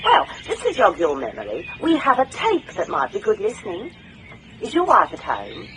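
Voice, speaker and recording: A woman's voice: medium pitch, huge pitch swings, normal speed, noisy background. An actress playing a shrewd female private investigator in a radio drama, speaking and narrating in a wry, controlled, pointed way. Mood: coolly threatening. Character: shrewd, steely female private investigator, quietly morally indignant. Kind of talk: radio drama acting and first-person narration.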